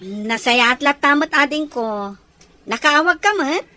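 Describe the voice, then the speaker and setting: Woman playing a slow-spoken, high-pitched caring big sister. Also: lively intonation, sharp tone, full character voice. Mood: bright, curious. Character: caring older sister, affectionate and teasing, giving advice. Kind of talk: Ilocano audio drama acting.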